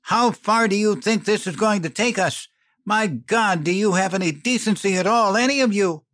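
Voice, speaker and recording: A man's voice: high voice, moderate pace, fairly bright tone, no echo, studio quality, voice pushed up. A man voicing a grieving widowed mother who screams bitter insults in a fury. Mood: furious.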